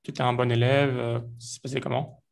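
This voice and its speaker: A man hosting a podcast, reading a scripted intro and asking relaxed questions. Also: medium pitch, fast talker, vocal fry. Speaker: podcast host.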